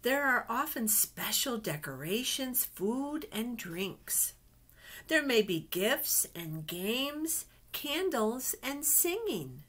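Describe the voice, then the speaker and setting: A woman's voice: fairly high pitch, big pitch swings, takes it slowly. A middle-aged woman reads picture books aloud to children, adding chatty asides and simple questions. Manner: simple, child-directed phrasing with gentle questions. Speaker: children's Bible storyteller. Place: small indoor office. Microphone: webcam at desk distance.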